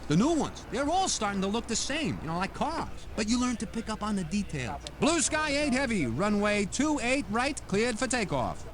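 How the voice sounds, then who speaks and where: A man's voice: sits high, very animated, normal speed, few pauses. A man playing an easygoing, seasoned air traffic controller, chatting in a folksy, dryly joking way as a tour guide in a computer game. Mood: brisk, procedural. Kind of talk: scripted casual tour narration with radio calls.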